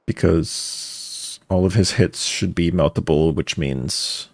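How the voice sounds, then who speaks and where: A man's voice: low voice, slow pace, gravelly, dry recording, studio quality. A man giving casual live game commentary, wry and muttering to himself as he plays. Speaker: live streamer.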